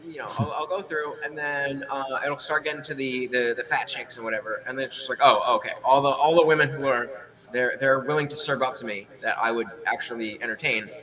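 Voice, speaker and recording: A man's voice: medium pitch, steady tone, brisk, sharp tone, rough recording. A young man co-hosting a podcast, chatting casually, rambling with restarts and frequent laughter. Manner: rambling, self-interrupting, laughs often. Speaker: podcast co-host. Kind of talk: casual banter.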